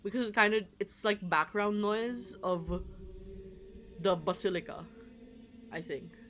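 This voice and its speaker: A livestreamer chatting casually while playing a game, rambling and excitable, prone to giggling. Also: medium pitch, unhurried, a little hiss or hum. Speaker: livestreamer playing a visual novel. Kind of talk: casual live stream commentary with read-aloud game dialogue. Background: game music.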